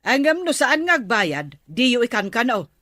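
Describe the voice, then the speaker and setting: A woman's voice: fairly high pitch, sing-song, moderate pace, sharp tone, dry recording, clean studio sound, full character voice. A woman playing a bossy, inquisitive village woman who likes to admonish, in an Ilocano comedy audio drama. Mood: scolding. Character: bossy, nagging village woman scolding the captain.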